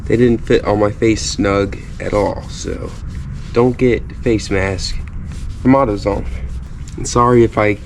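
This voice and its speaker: Stuffy-nosed man with a lowish voice. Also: a little slow, creaky, a little hiss or hum. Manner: relaxed, chatty, self-deprecating. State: stuffy from a cold. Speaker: car DIY vlogger. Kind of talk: casual how-to narration. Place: outdoors while working on wheels.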